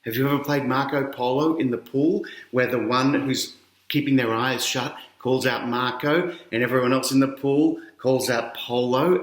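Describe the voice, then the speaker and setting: A middle-aged Australian man reading a picture book aloud to children, chatting to his young listeners along the way. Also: mid-range voice. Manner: addresses children directly with questions and explanations. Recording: roomy, echoey indoor sound.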